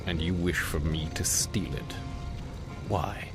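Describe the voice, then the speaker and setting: An actor playing a brooding, proud male elf exile in a video game cinematic, with a hoarse, whispery delivery. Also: low-pitched, takes it slowly, music in the background. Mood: defiant.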